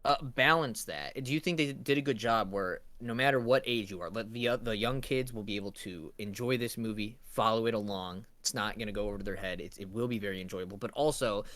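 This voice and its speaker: A man's voice: on the low side, a little fast, hardly any pauses, fairly bright tone, no echo. A man co-hosting a casual review discussion, explaining his points and often checking in with the listener. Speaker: co-host asking questions.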